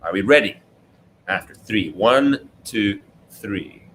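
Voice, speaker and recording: A man's voice: fairly low pitch, lively intonation, slow pace, fairly bright tone, slight background noise. A man reading a children's book aloud as its author, expressively, with simple explanatory asides to young listeners. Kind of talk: read-aloud storytelling for children, interactive.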